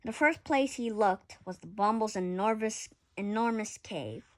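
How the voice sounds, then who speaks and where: A woman's voice: medium pitch, a little slow. A woman reading a children's picture book aloud expressively, with occasional stumbles and self-corrections. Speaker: story reader.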